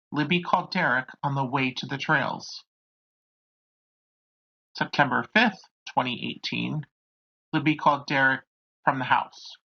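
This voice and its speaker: Creaky, husky true crime narrator. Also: medium pitch. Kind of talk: scripted narration. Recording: dull, lo-fi home recording.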